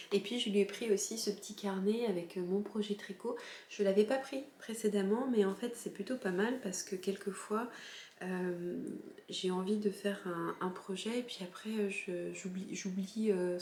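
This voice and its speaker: A woman's voice: on the low side, darkish tone, reverberant, a little hiss or hum. A woman from southern France hosting a knitting podcast, showing her purchases in a casual, hesitant way with many fillers and restarts. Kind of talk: casual show-and-tell chat.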